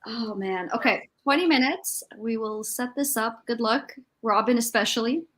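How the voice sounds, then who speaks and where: A woman hosting a livestream in casual chat, informal and hesitant as she thinks out loud. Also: a little high, normal speed. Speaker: livestream host.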